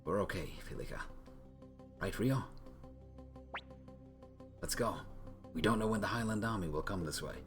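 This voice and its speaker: A man's voice: fairly low pitch, moderate pace, long pauses, soft background music, lightly acted. A man voicing an earnest, resolute young warrior from a video game, speaking to his friend. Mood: reassuring. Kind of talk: dramatic read-aloud of game dialogue.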